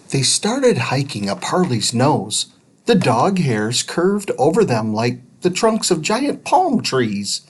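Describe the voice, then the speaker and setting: A woman reading a children's storybook aloud as an expressive narrator. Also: rumbling low, breathy edge. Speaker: storybook reader.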